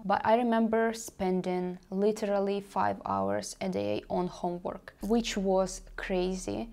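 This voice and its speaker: A woman's voice: medium pitch, a little mellow. Ukrainian woman chatting to camera. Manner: earnest, explains step by step with many fillers. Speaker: language channel host. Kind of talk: casual talk to camera.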